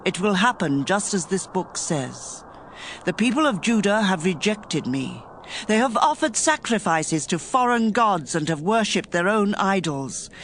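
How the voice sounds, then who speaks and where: A woman's voice: medium pitch, very animated, a little slow, a little hiss or hum. A woman playing a stern prophet who delivers divine judgment in a solemn, declamatory manner in a scripture drama. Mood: stern, furious.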